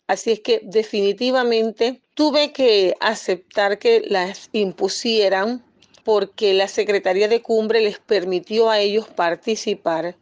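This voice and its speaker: A woman's voice: mid-range voice, no echo. A woman from Panama, speaking as an activist in a firm, insistent press statement with occasional hesitations.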